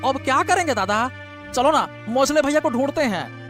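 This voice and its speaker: An actor voices a wily male villager in a storybook drama, with very lively, swinging pitch. He is theatrical, fawning and falsely humble, repeating himself over soft music. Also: moderate pace. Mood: agitated. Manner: obsequious, theatrical, repetitive. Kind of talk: melodramatic storybook audio drama.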